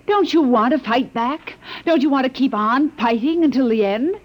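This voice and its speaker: An earnest, defiant survivor in vintage radio drama style. Her voice is fairly high, urgent and pleading, on a dull old broadcast recording. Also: normal speed. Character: earnest, impassioned young woman, defiant last survivor. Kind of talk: 1950s radio drama acting.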